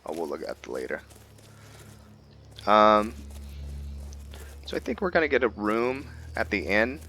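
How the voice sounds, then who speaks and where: Deep-voiced man, slow and halting gameplay commentary. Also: sing-song, pushed and bright. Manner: halting, thinking aloud with long hesitant pauses. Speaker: gamer host of a roleplay series.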